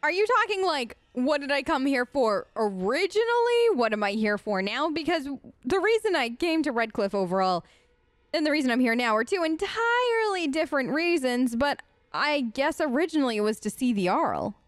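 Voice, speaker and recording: A woman's voice: sits high, very animated, moderate pace, dry recording. A woman hosting a let's play, chatty and improvising in-character replies as she reacts aloud to the game.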